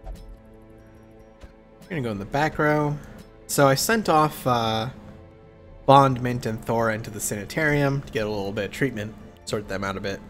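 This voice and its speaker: Congested man with a cold, relaxed gameplay commentary, faint game music under him. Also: medium pitch. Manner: relaxed, thinking aloud with fillers. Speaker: let's play host.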